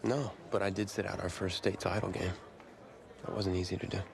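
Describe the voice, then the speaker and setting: A man's voice: sits low, level tone, brisk, gravelly, background noise. A man playing an irritable, competitive young college quarterback in a naturalistic video game cutscene. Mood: subdued. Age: young adult.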